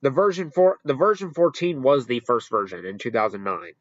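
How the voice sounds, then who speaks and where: A man hosting a casual, unscripted ranking commentary, halting and rambling as he corrects himself along the way. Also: medium pitch, no echo, lo-fi sound. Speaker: video host ranking products.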